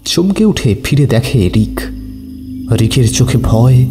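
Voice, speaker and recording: A man's voice: medium pitch, very animated, slow. A man narrating a scripted horror story with suspenseful, dramatic phrasing. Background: music.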